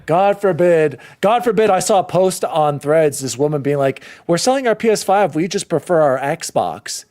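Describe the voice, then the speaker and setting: Animated, ranting male podcast host. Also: fairly high pitch, normal speed. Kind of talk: casual podcast chat.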